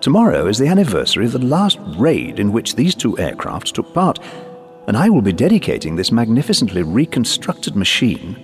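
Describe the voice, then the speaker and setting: A man's voice: medium pitch, wildly swooping pitch, soft background music, voice pushed up. A man voicing an older, courteous, puzzled former air force pilot in a story. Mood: faltering, explaining. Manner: formal, halting, explanatory.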